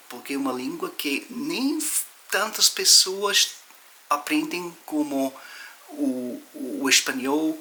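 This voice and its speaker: Male, 50s, non-native speaker with foreign accent. Very bright, forceful voice, halting explanatory vlog delivery, faint hiss behind. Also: mid-range voice. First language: not Portuguese, a second language. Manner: halting, searching for words, explanatory. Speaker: language vlogger. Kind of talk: informal motivational talk about language learning.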